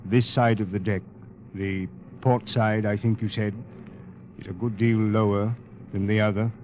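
An actor playing a gaunt, coldly courteous doctor in an old radio drama, speaking in a formal, measured, ominous way. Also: male voice, on the low side, flat delivery, lo-fi sound, lightly acted. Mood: quietly menacing. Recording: band-limited, noisy vintage radio broadcast.

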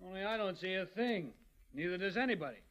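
High, sharp blunt police sergeant. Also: male voice, expressive, quick pace, dull audio. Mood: baffled, grumbling. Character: blunt, excitable, slow-witted male police sergeant. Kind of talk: 1940s radio acting.

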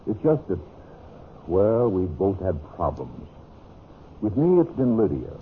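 An actor playing an insistent, falsely friendly American businessman with quiet menace in an old radio drama. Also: low-pitched, huge pitch swings, a little slow, heavily acted. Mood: confiding. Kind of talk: 1940s-50s radio drama acting. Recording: muffled, hissy vintage radio recording.

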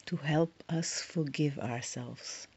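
Very slow, husky woman guiding meditation. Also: sits low, lo-fi sound. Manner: soft, soothing, long pauses between short phrases. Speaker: meditation guide. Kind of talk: guided meditation.

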